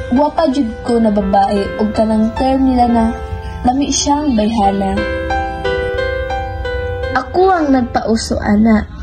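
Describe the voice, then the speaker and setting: High-voiced Filipina radio drama narrator. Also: female voice, poor audio. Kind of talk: scripted narration. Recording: music under the voice. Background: clear background music.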